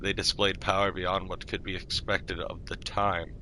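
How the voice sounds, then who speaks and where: A man streaming a game roleplay, reading lore aloud in a measured, formal way. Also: sits low, level tone, sharp tone, a little hiss or hum, rough recording.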